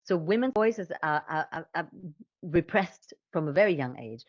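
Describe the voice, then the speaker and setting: A woman explaining things conversationally as a voice coach, with an expressive, emphatic way of talking. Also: low voice, moderate pace, no room sound, dull audio.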